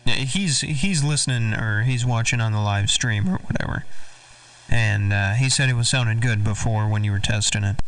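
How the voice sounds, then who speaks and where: A man's voice: on the low side, normal speed, fairly bright tone, distant mic, a little hiss or hum. A man chatting casually in CB slang, in an easygoing manner. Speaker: CB radio operator hosting a check-in. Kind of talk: casual radio chat.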